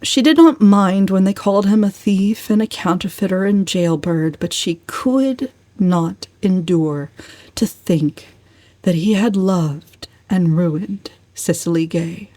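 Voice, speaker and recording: A woman narrating a novel as a dramatic reading, with expressive, deliberate phrasing. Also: medium pitch, dry recording. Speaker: audiobook narrator.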